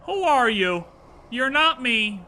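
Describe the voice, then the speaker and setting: An excitable streamer giving casual live reactions to a game, slangy and full of exclamations. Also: medium pitch, slow.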